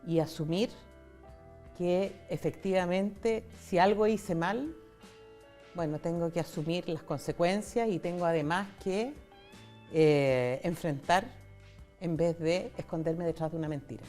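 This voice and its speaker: A woman's voice: fairly low pitch, a little slow, dark tone, faint music behind. A Chilean woman in her sixties telling personal stories as an interview guest, in a candid, conversational way. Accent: Chilean Spanish. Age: 60s. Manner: candid, conversational, retells dialogue. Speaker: interview guest, foundation founder.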